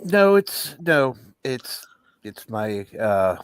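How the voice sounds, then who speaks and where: A man's voice: medium pitch, big pitch swings, drawn-out words, smooth, no room sound. A man on a video call giving brief, subdued answers in casual chat. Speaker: stream guest.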